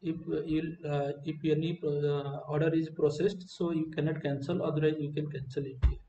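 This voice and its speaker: A man giving an unscripted screen-recording walkthrough as a software demonstrator, speaking in a halting, hesitant way. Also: fairly high pitch, flat delivery. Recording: muffled, roomy, faint background noise.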